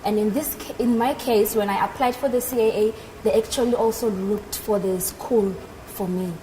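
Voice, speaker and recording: A young woman with a South African accent gives explanatory answers as an interview guest. Her voice sits at a middle pitch. There is clear noise in the background of the recording. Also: steady tone, normal speed, mellow, low-quality recording. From South Africa, Northern Cape. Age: 20s. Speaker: interview guest, aircraft maintenance engineer. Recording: noticeable background noise at live event.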